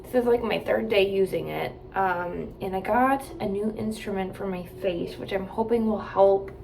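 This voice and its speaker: A woman's voice: medium pitch, moderate pace, creaky, darkish tone, slight background noise, rough recording. A woman in her late twenties chatting casually to camera from a hotel room, rambling with lots of "like" and "um". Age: 20s. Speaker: vlogger.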